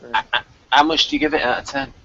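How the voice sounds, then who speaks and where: A man hosting a review show in casual chat, excitable and prone to chuckling as he talks. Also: quick pace. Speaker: podcast host of a TV review show. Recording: dull, lo-fi, dry recording.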